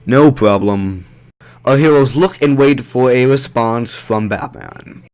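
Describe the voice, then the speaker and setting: A man's voice: medium pitch, unhurried, a little mellow. A man reading scripted narration for an audio drama.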